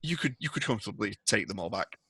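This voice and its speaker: A man's voice: fairly low pitch, animated, fast, sharp tone, husky, no echo. A man acting as game master, narrating a tabletop role-playing game in a conversational way.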